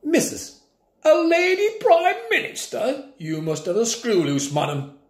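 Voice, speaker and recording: A man's voice: extremely high pitch, huge pitch swings, unhurried, voice pushed up, heavily acted. A man reading a storybook aloud, voicing a confused elderly man who talks in a stammering, old-fashioned formal way. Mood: incredulous. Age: older. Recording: roomy echo.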